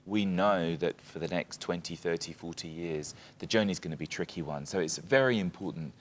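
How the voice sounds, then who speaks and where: A man's voice: low-pitched, fairly quick, a little hiss or hum. A middle-aged man, a charity chief executive, talking as an interview guest in an upbeat, earnest way.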